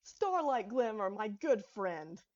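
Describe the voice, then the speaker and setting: A woman's voice: medium pitch, sing-song, slow pace, dull audio. Woman doing a mischievous, theatrical male trickster creature. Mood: jovial. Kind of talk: fan fiction story reading.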